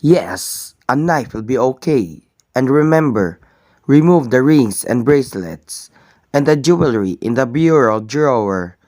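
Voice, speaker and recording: A man acting in a radio play as a cold, businesslike crime boss, measured, matter-of-fact and commanding. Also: medium pitch, animated, darkish tone, no echo, lightly acted. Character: cold, businesslike criminal boss arranging a killing.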